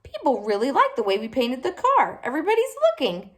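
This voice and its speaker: A woman's voice: high-pitched, huge pitch swings, fairly quick, voice pushed up. A woman voicing an eager, wide-eyed young boy in a children's storybook, full of curious exclamations. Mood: eager wonder. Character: eager, excitable young boy Easter-egg artist.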